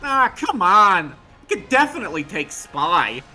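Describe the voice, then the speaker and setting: A performer voicing a brash, cocky young male mercenary who argues and boasts in an excitable way, in a fan story reading. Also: medium pitch, unhurried, exaggerated voice. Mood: cocky, indignant. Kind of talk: amateur voice acting in a live fan story reading. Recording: music behind the voice.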